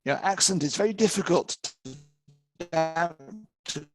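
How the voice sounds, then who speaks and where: A man's voice: on the high side, normal speed, over music. A man teaching interpreters in an online master class, speaking conversationally and repeating phrases as he works through examples. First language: English. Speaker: interpreting lecturer. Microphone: video call.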